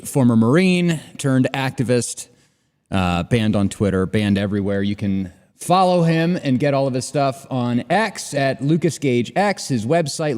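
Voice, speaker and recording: A man's voice: mid-range voice, darkish tone. A man chatting casually as a talk show host, in a reverberant recording. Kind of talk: casual chat.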